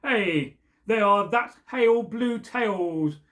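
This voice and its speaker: Storybook wee boy, slow and defiant, read by a man in a raised voice. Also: high-pitched, a bit bright, exaggerated voice. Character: young wee boy answering a stranger.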